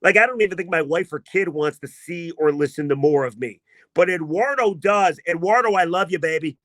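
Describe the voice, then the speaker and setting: A man hosting a sports podcast, talking in a casual, emphatic and self-mocking way, with a voice he himself calls not deep. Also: a little fast, a bit bright, dry recording. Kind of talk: casual sports commentary monologue.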